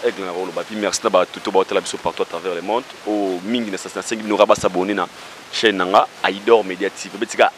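A man's voice: on the low side, fairly bright tone, a little hiss or hum. A man, a talk show guest speaking in a rambling, hesitant way with many fillers, outdoors into a handheld mic. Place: outdoor garden by a wall. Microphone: handheld mic held by host.

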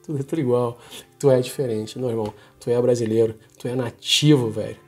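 A man's voice: medium pitch, fairly even intonation, quick pace, darkish tone, husky, soft background music. A Brazilian man in his forties giving an informal, emphatic opinion monologue to camera in colloquial, slangy speech. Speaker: vlogger. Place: small home office, indoors.

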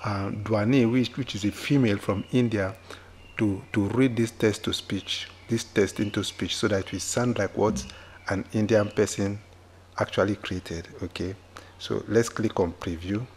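A man walking viewers through a software tutorial, often repeating himself and checking in with "okay?". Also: lowish voice, steady tone, a little slow, a little hiss or hum. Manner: repetitive, with frequent "okay?" checks. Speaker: tutorial host. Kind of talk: screen-recorded software tutorial. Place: indoors at a computer, voice-over on screen capture.

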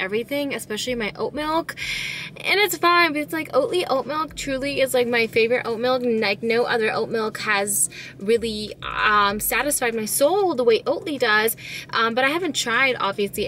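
A woman's voice: a little high, sharp tone. A woman in her twenties chatting casually to camera in a lifestyle vlog, upbeat and full of "like" fillers. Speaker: lifestyle vlogger. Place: home kitchen and car.

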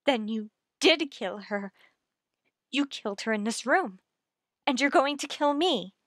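A woman's voice: high voice, expressive, measured pace, a bit bright, no echo, studio quality. A woman playing a frightened, haunted genteel heroine in an old-time radio suspense play, with an earnest, dramatic delivery. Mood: horrified.

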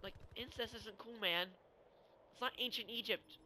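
A young man talks over a video game in a casual, rambling way. His voice sits a little high for a man. The recording is clearly noisy, with game sound behind him. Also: moderate pace. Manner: rambling, informal. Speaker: gaming video creator. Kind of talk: casual storytelling and chat over gameplay.

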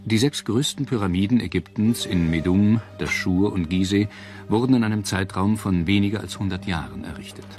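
A man reading scripted documentary narration in a measured, solemn manner. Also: fairly low pitch, darkish tone, faint music behind.

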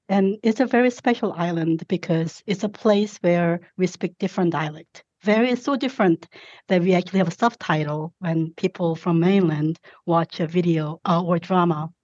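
A woman from South Korea with a Korean accent, a podcast guest telling her story in a thoughtful, measured way. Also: fairly low pitch, darkish tone, no room sound, lo-fi sound. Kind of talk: reflective interview talk.

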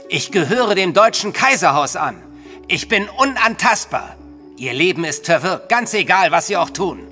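An actor playing an outraged, imperious imperial prince in a German audio drama, pompous, petulant and indignant. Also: male voice, high voice, sharp tone, full character voice. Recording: long hall reverb.

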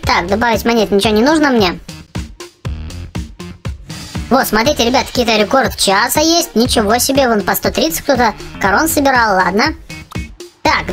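An excitable gaming host giving casual gameplay commentary for children, often exclaiming and addressing viewers. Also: mid-range voice. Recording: music behind the voice.

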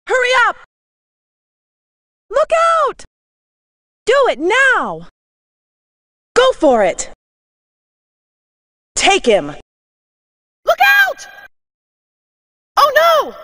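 An excitable arcade racer shouting out callouts. Her voice is piercingly high and forceful, swinging wildly in pitch. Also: drawn-out words, long pauses, no room sound, studio quality, heavily acted. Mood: frantic. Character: excitable high-voiced female arcade racing game character. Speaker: game announcer. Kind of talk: exclaimed arcade racing game callouts.

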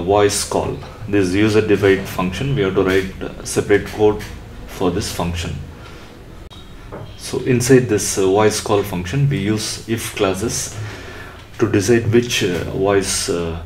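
A man narrating a coding tutorial step by step, unscripted, in a halting way. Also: on the low side, a little mellow. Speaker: electronics tutorial presenter. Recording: roomy echo with faint background noise.

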